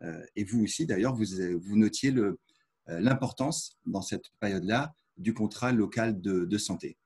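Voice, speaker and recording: Low, dark, husky male moderator. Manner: halting, repeats words while introducing. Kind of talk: webinar moderation.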